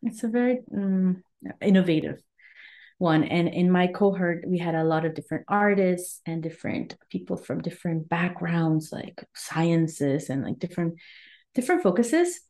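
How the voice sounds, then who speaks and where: A woman in her thirties from Mexico and Guatemala speaks as a podcast guest in a low, mellow voice. She talks earnestly and warmly. She often pauses with "um" while she finds the next word. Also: expressive. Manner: warm, earnest, searching for words. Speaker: wellness coach, guest. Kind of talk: conversational interview.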